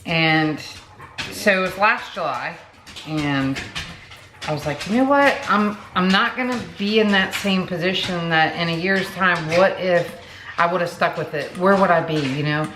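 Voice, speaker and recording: A woman in her late forties talks casually to camera with a slightly low voice, wandering through her story with plenty of fillers and asides. She is recorded in an echoey room at home, with clear background noise under her voice. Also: unhurried. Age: 40s. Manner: chatty, rambling, many fillers and asides. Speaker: vlogger.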